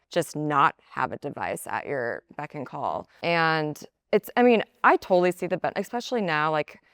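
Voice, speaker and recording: Low, smooth-voiced woman in her thirties. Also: a bit bright, no echo, studio quality. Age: 30s. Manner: casual, thoughtful, filler-heavy. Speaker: panel guest.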